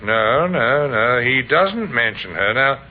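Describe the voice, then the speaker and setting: An actor playing a courteous, probing British police inspector who muses and hesitates, in an old-time radio crime drama. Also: male voice, medium pitch, animated. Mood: pondering, then urgent. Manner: hesitant, musing, full of uhs and pauses. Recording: tinny, noisy old radio recording.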